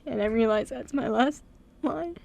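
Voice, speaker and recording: Young woman, high voice, radio co-host, gushing and dramatic in casual studio chat. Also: moderate pace, creaky, a little mellow. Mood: emotional. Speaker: radio show co-host and script reader.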